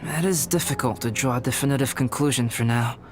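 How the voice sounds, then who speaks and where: A reserved young male alchemist played by a man speaks in a medium-pitched voice with formal, precise wording. He sounds calm and analytical, as a video game character over clear background music. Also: brisk, natural voice.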